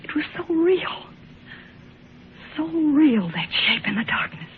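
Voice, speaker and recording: A woman's voice: sits high, very animated, slow pace, heavily acted. Scared haunted young lady, melodramatic old radio horror, narrow hissy sound. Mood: fearful. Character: haunted, frightened young woman.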